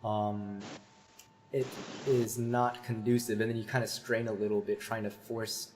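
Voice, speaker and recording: A man, a voice teacher on a video call through a headset, explaining singing technique with many fillers and pauses. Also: on the low side, flat delivery, darkish tone, a little hiss or hum, poor audio. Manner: thoughtful, hesitant with many fillers, demonstrates sung vowels.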